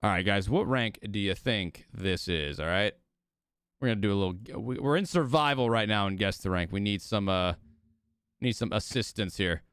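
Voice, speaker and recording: A man's voice: lowish voice, animated, moderate pace, a bit bright, clean tone, no echo, clean studio sound. A man livestreaming casually to his viewers as host, talking in hyped, slangy bro speak with swearing, his voice strained from overuse. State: voice strained, losing it. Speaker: live streamer hosting a watch party.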